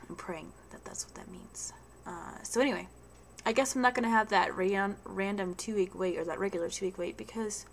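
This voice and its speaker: A woman's voice: fairly low pitch, a bit bright, slight background noise. A young woman with a stuffy head cold, chatting casually to camera in a rambling video diary at home, full of ums and restarts. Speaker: vlogger.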